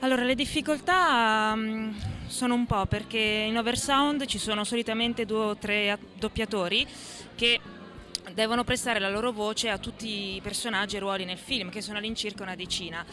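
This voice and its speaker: A woman's voice: on the high side, steady tone, normal speed, bright, forceful tone. A woman answering an interview about her work, explaining in a hesitant way and searching for words. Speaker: volunteer film dubber, interviewee. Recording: music playing behind the voice.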